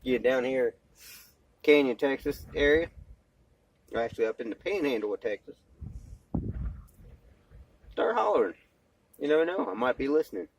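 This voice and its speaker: A man gives a casual, rambling vlog talk into his cell phone. He is plainspoken and folksy, leaning on homespun slang, with a middling pitch for a man. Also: expressive, normal speed, long pauses, muffled recording. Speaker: amateur vlogger and CB radio hobbyist, former truck driver.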